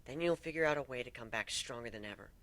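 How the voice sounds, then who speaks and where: A man voicing a tough but tender female griffin in a story, soft-spoken, teasing and affectionate. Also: medium pitch, quick pace, lightly acted. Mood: firm, teasing.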